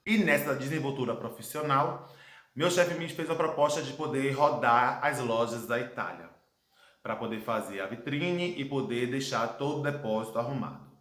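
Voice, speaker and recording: A man in his thirties from Brazil with a Brazilian Portuguese accent, recounting his life abroad as a personal testimony, earnest and reflective, with dramatic pauses. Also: mid-range voice, fairly bright tone. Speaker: vlogger telling his life story. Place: his home, indoor room. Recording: echoey room.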